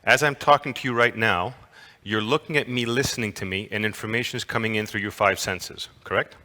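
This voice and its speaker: A man's voice: on the low side, a little fast, sharp tone. Playful man lecturing in a reverberant hall. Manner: playful, engaging, asks the audience questions. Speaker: conference lecturer. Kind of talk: interactive lecture to an audience.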